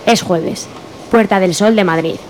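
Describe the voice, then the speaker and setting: A woman's voice: medium pitch, a little slow, darkish tone. A woman from narrating a scripted radio drama. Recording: narration over crowd noise.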